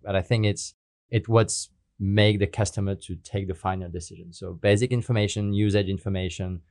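Low-voiced man explaining methodically. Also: a little mellow, no echo. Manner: careful, methodical, with non-native phrasing. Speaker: guest speaker. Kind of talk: explanatory interview answers.

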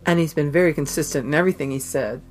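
A woman hosting a podcast, explaining things in a measured way. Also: deep voice. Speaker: podcast host. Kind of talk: casual podcast chat and scripted intro.

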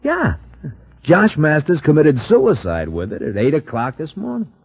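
An actor playing a matter-of-fact, stubborn police lieutenant who argues casually in a 1950s radio drama. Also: male voice, fairly high pitch, huge pitch swings, unhurried, breathy edge, full character voice. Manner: casual, argumentative. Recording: muffled old 1950s radio recording.

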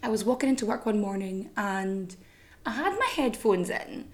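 A woman hosting a history podcast, telling stories in a chatty, swearing, self-mocking way, her voice still a little croaky. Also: medium pitch, lively intonation, moderate pace. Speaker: podcast host. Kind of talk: casual comic monologue.

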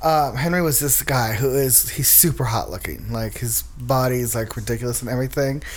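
A man's voice: mid-range voice, brisk. A man co-hosting a podcast in casual chat, with a voice he calls girly, talking in a chatty, giggly way. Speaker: podcast co-host.

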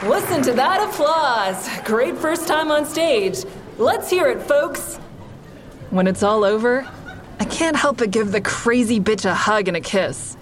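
A woman's voice: fairly high pitch, animated, measured pace, a faint crowd, a little hiss or hum. An actress playing a wry stand-up comedian who narrates her own story conversationally in an audio drama. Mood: performing, upbeat.

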